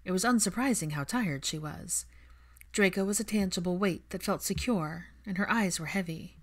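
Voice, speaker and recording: A woman narrates a novel-style story in a fairly low voice. She reads scripted prose as an audiobook narrator would. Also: normal speed.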